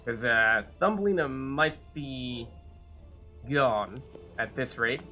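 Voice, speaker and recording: A man's voice: medium pitch, expressive, slow, pushed and bright, faint music behind. A man giving casual live commentary on a video game, chatting and thinking aloud as he plays. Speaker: game streamer, host.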